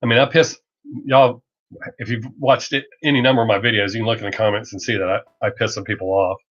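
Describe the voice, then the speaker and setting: A man's voice: fairly low pitch, steady tone, a bit bright. A middle-aged man with a Southern American accent and a drawl he talks over, chatting casually and bluntly on a livestream, rambling and joking. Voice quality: Southern drawl masked by fast talk. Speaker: reaction video creator hosting a live stream. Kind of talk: casual livestream chat, off the cuff. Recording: dull lo-fi livestream audio.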